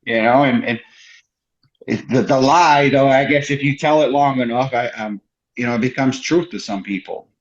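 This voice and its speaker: Male guest, mid pitch, hesitant and filler-heavy, dull lo-fi recording. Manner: halting, restarts a lot, many "you know" fillers. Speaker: podcast guest. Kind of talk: casual conversation. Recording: dull lo-fi sound.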